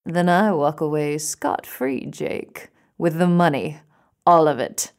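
An actress playing a seductive, cold-blooded femme fatale killer in a noir audio drama, with a sultry and scornful manner. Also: female voice, deep voice, slow, darkish tone. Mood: brazen, scornful.

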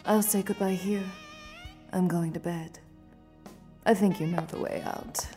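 Actress as mellow-voiced sultry noir femme fatale. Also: female voice, on the low side, fairly even intonation, a little slow, lightly acted. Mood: hurt, cool. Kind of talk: noir radio-drama acting. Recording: studio production with music underneath. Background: music.

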